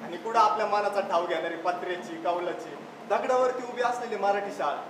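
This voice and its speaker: A man's voice: high-pitched, fairly quick, close to an everyday voice. A man playing an earnest, worried father and village schoolteacher in a stage play. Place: theatre stage at a youth festival. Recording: distant echoey stage, noisy hall.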